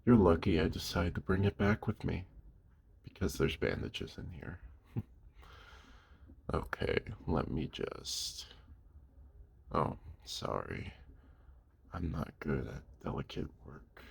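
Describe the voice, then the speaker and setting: A man voicing a gruff but caring werewolf of the woods in an audio roleplay, speaking gently and protectively to the listener in a gravelly, husky voice. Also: sits low, big pitch swings, slow, long pauses, a little hiss or hum, exaggerated voice. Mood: caring, awkward.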